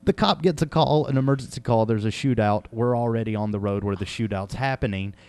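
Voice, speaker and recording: A man's voice: mid-range voice, brisk, darkish tone, clean tone, no room sound. A man hosting a casual interview, chatting and telling anecdotes in a joking, exclamatory way. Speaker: interviewer, show host. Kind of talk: casual chat.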